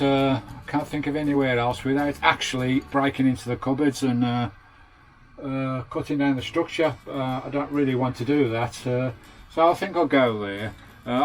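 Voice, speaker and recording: British male vanlife vlogger, mid-pitched, casual and chatty, slangy matey delivery, music in the background. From United Kingdom. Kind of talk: casual hands-on video tour and fitting commentary. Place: inside a small campervan.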